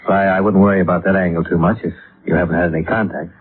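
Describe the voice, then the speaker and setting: A muffled vintage radio broadcast carries a probing male investigator played by an actor. He talks quickly in a lowish voice, clipped and courteous, laying out his case in matter-of-fact detective drama style. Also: fairly even intonation, background noise, natural voice. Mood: businesslike. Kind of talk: 1950s radio detective drama, dialogue and narration.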